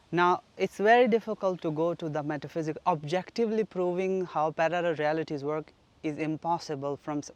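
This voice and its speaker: A man from Pakistan giving an earnest, reflective spoken teaching at home, his sentences often trailing off softly. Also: on the high side, no room sound. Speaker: spiritual teacher. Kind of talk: solo spoken teaching on manifestation. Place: flat.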